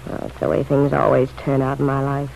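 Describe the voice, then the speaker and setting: A woman's voice: rumbling low, monotone, brisk, creaky, darkish tone. An actress playing a bitter, pleading, lovelorn city woman in a vintage radio drama, blunt, slangy and insistent. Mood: bitter, angry. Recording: dull, noisy old radio recording.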